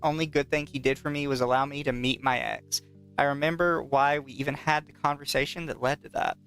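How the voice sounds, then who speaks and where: A man's voice: a little high, steady tone, a little fast, smooth. A man co-hosting a podcast in casual chat, often reading aloud with a mocking, profane manner. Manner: mocking, profane, laughs easily. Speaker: podcast co-host. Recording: soft background music.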